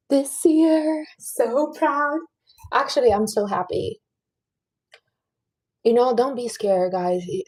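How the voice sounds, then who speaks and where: Woman, late 30s, livestream host, earnest advice-giver, relaxed tone with breathy texture. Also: medium pitch, low-quality recording. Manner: chatty and earnest, giving life advice to viewers. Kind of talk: casual livestream chat.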